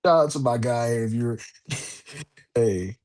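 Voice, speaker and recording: A man's voice: medium pitch, takes it slowly, darkish tone. A man hosting a wrestling podcast in casual chat, rambling with lots of fillers and restarts. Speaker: podcast host.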